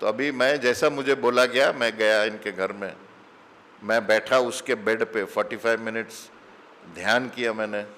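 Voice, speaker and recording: A man's voice: on the low side, steady tone, fairly bright tone, slight background noise. A middle-aged man giving a conversational sermon full of anecdotes, slipping English phrases into his talk. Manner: slow, mixes English phrases into Hindi. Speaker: spiritual teacher giving satsang. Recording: long hall reverb.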